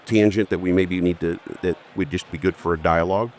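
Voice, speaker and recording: A man with a very deep voice, hosting a conversation on a podcast. He asks his questions in a loose, halting way, pausing on fillers and restarting his sentences. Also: steady tone, a little mellow, slight background noise. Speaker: podcast host. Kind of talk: casual interview conversation.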